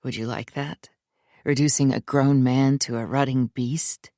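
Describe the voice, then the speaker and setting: Female narrator voicing a commanding man in a storybook reading; low, unhurried, intimate. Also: steady tone, dark tone, no echo, studio quality. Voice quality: low and controlled, slow deliberate delivery. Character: commanding, dominant adult man lover.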